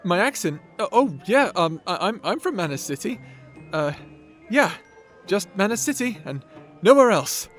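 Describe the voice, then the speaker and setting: A man acts out a shy, bookish young wizard with a fairly high voice. He is polite to a fault, anxious and flustered, tripping over his words as in a fantasy audio drama. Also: big pitch swings, moderate pace, fairly bright tone, faint music behind. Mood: flustered, apologetic. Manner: stammering, apologetic, flustered. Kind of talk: fantasy audio roleplay acting.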